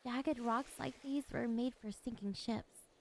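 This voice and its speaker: Woman acting as a soft, high-voiced, possessive siren. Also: fairly even intonation, a little slow, a little hiss or hum. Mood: smug, possessive. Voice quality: soft, weak voice. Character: soft-voiced female siren, lonely, possessive, predatory, curious. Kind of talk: ASMR roleplay acting.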